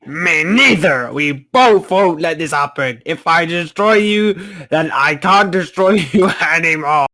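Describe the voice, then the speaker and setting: A man voicing an arrogant, menacing god in a fantasy table read, with a grandiose, taunting and deliberate delivery. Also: on the high side, lively intonation, pushed and bright, heavily acted. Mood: defiant.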